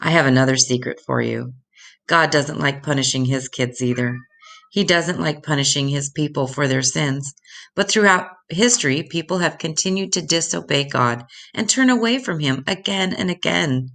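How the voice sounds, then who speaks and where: An older woman reading Bible stories aloud to children in a deliberate, instructive way, addressing them directly. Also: sits low, vocal fry, a bit bright.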